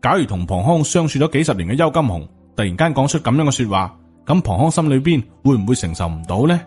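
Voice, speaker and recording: A man's voice: mid-range voice, very animated, fast talker, darkish tone, husky, faint music behind. A man with a Hong Kong Cantonese accent reads a novel aloud as a scripted audiobook narrator.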